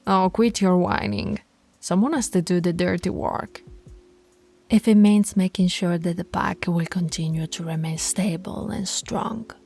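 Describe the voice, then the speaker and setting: A woman's voice: on the low side, fairly even intonation, a little slow, vocal fry, darkish tone, breathy edge, soft background music, full character voice. A woman voicing a dominant female werewolf pack alpha in an audio roleplay, teasing and commanding, often dropping to a whisper. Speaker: voice actress.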